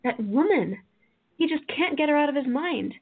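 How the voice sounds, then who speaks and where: A woman's voice: high-pitched, expressive, normal speed. A woman playing a worried, exasperated sister in a radio play, speaking fretfully. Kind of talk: amateur radio play acting. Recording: tinny phone-like sound.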